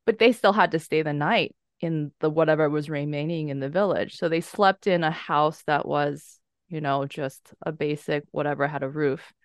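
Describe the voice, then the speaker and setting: A woman with a low voice talks in a casual podcast roundtable. She hunts for words with restarts, then settles into a steady telling of a story. Her sound is dry and close, with no room echo. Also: normal speed. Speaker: podcast guest, horror writer.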